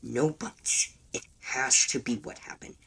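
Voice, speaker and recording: Cocky male demon for audio roleplay, acted out, smug and quick to argue, mid pitch, slightly distant, faintly noisy recording. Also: steady tone, gravelly, pushed and bright, husky, heavily acted. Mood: irritated, insistent. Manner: smug, taunting, argumentative. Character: cocky, teasing, hot-headed male demon. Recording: distant mic with faint background noise.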